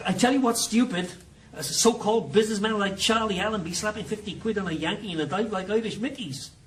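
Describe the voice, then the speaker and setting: A man's voice: high voice, fast, a bit bright, slight background noise, low-quality recording. A man, playing a blunt, skeptical sidekick in a radio drama.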